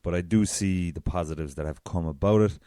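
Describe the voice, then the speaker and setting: An Irish man with a very deep voice hosting a solo podcast, chatty and self-deprecating. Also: fairly quick, warm tone, dry recording, studio quality. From south of Ireland. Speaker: podcast host.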